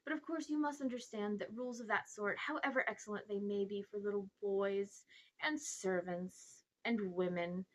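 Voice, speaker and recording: A woman's voice: medium pitch, moderate pace, close to an everyday voice. Woman playing an old male magician, self-important, grandiose, condescending, slippery, storybook villain. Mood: pompous, condescending. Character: pompous, self-important old uncle, sly amateur magician.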